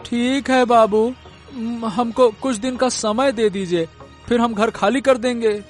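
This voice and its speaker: A man's voice: very high-pitched, steady tone, takes it slowly, faint music behind. A man voicing a gullible village farmer in a cartoon story, with a bewildered, rustic and at times blustering manner. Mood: helpless. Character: gullible, simple-minded village farmer father.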